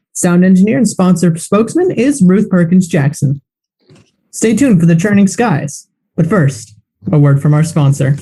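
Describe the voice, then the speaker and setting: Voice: medium pitch, dark tone, close to an everyday voice, poor audio. A performer playing a formal 1940s radio announcer opening a weekly drama. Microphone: video call.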